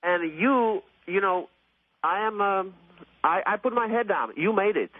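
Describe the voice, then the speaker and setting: Male radio caller, late 30s, slightly high pitch, gushing and repetitive, thin telephone sound. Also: animated, unhurried, no room sound. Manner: effusive, rambling, repetitive, mildly profane. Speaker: phone-in caller. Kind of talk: casual, opinionated radio call-in chat. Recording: tinny phone line on radio.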